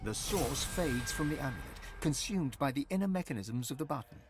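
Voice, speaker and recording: A solemn male storyteller played by an actor narrates a fantasy adventure game. His delivery is scripted and storybook-like, with lively, wide-moving intonation. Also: medium pitch, fairly quick, soft background music, natural voice. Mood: measured, mysterious.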